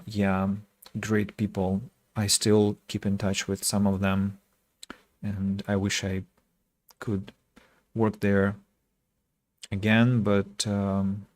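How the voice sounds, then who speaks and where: A man from Russia with a Russian accent chats casually with viewers as a game streamer, speaking reflectively and pausing mid-sentence. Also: fairly low pitch, darkish tone, no echo. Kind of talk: casual livestream chat with viewers.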